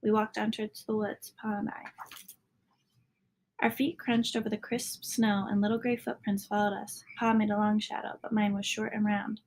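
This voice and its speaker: A woman reading a children's story aloud as a narrator, sometimes dropping into a hushed tone at the ends of lines. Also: mid-range voice, level tone, normal speed, creaky, a little hiss or hum. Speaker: storybook reader.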